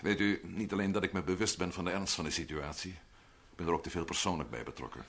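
Worried hunted man, urgent, husky, bright, vintage radio play. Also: low voice, steady tone, quick pace, heavily acted. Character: worried man hunted by police, wife in danger. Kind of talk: vintage Dutch radio drama acting.

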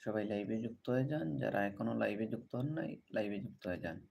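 Low, gravelly man hosting a livestream. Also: animated, fast, a little mellow. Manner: repetitive set-phrase appeals to viewers. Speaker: livestream host. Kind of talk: livestream greetings and chat with viewers. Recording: noticeable background noise.

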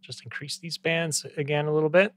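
A man in his thirties giving a casual software tutorial as a music production tutor, speaking into a close studio microphone. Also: a little high, fairly even intonation, a little fast. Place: small indoor home studio. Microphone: close studio microphone on boom arm.

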